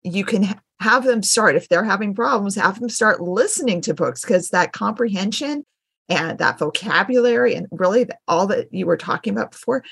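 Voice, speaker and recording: A woman's voice: medium pitch, expressive, normal speed, creaky, a bit bright, slightly rough, no echo, studio quality. A middle-aged American woman hosting a casual interview in a conversational style. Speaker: podcast host, teacher.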